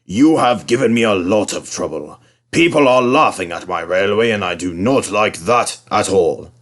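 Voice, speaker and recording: A man voicing a stern older male railway boss in a storybook, speaking in measured, firm tones. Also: fairly low pitch, lively intonation, a bit bright.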